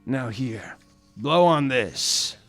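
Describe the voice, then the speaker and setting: An actor playing a loyal, laid-back island sidekick who encourages the detective, in a live comedy radio play. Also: male voice, medium pitch, lively intonation, drawn-out words, fairly bright tone, soft background music, heavily acted.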